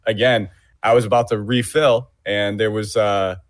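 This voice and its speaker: A man with a very low voice chatting on a sports podcast. He is laid-back and joking, with lots of fillers. The sound is dry and close. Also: steady tone, moderate pace, no room sound. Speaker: podcast co-host. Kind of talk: casual podcast chat.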